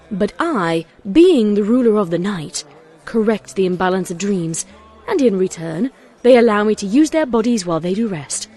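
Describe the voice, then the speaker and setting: A woman's voice: medium pitch, a little mellow. Woman doing a stately pony night queen, formal and burdened, audio drama, bit of music behind. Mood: proud.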